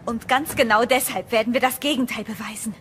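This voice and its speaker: A woman's voice: on the high side, fairly even intonation, brisk, fairly bright tone, slight background noise, lightly acted. A woman playing a bold, determined investigator in a German-style horror radio play, acted for audio. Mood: urgent, determined. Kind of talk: horror radio drama acting.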